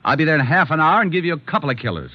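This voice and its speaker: An actor playing a wisecracking, hardboiled private detective with a breezy, slangy delivery in an old radio drama. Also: male voice, a little high, sing-song, racing, heavy background noise. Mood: brisk, confident. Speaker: lead actor and narrator. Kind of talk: 1940s radio acting. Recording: dull old radio broadcast recording.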